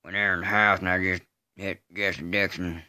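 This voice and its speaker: A man performing a film-style monologue as a slow, simple-minded rural killer, unrepentant, in a halting deadpan Southern US drawl with grunted hums. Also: low voice, no echo. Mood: flat, matter-of-fact. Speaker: amateur voice artist. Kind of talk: dramatic monologue re-enactment of a film scene.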